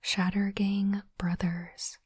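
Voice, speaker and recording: A woman whispering in a breathy, unvoiced voice, slow and deliberate, in the style of an ASMR host. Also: mid-range voice, little pitch movement, no room sound, clean studio sound. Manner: slow, deliberate, softly reading aloud. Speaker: host of an ASMR unboxing channel.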